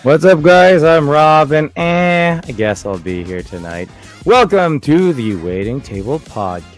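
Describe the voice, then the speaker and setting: A man's voice: a little high, sing-song, unhurried, soft background music. A man hosting a casual podcast chat, upbeat and joking with his co-hosts. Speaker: podcast host.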